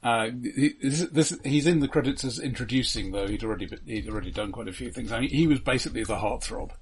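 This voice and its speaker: A man co-hosting a casual film discussion, explaining haltingly and often repeating words as he goes. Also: mid-range voice, breathy edge. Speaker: film podcast host.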